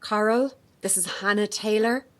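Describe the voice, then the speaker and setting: A woman playing a sharp-witted, persistent investigative reporter in an audio drama, with an articulate, probing manner. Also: mid-range voice, fairly even intonation, moderate pace, close to an everyday voice. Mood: polite, puzzled. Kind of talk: naturalistic audio drama acting.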